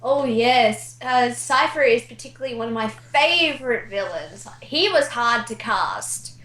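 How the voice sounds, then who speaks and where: A woman's voice: a little high, lively intonation, unhurried, a bit bright, reverberant, rough recording. A woman chatting casually and enthusiastically about video games as a guest who directs fan dubs.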